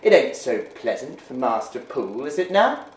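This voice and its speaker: Man putting on an innkeeper, rustic, gently reproving, mid pitch, roomy echo. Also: big pitch swings, moderate pace, natural voice.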